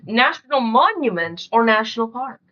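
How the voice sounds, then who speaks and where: A woman's voice: on the high side, big pitch swings, normal speed, highs cut off. A female speaker reading out quiz questions in a casual podcast style.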